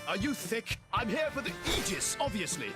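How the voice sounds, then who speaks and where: A man's voice: high-pitched, sing-song, moderate pace, loud music behind the voice. An actor playing a bombastic, self-important young swordsman villain in a video game cutscene, with a pompous, theatrical manner. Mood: scornful.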